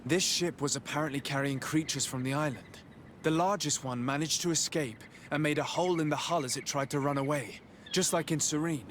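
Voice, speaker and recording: Guy playing young noble diplomat in a video game, slightly high voice, polite n earnest, bit of background noise. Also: fairly quick, fairly bright tone, natural voice. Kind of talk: dramatic game dialogue.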